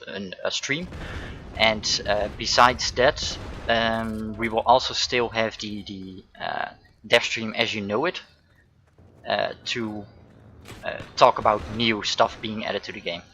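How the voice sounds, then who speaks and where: A man's voice: fairly low pitch, creaky, pushed and bright, a little hiss or hum. A man with a Dutch accent, a game developer at home answering stream chat questions while he plays. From Netherlands. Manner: answers chat questions between gameplay remarks. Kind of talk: casual stream Q&A while playing.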